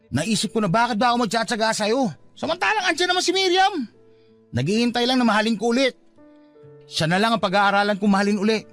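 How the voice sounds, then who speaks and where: A man's voice: high-pitched, fairly bright tone. An actor playing a hurt, fed-up young father in an emotional, reproachful Filipino radio drama style. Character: hurt, fed-up young father giving up on his unrequited love.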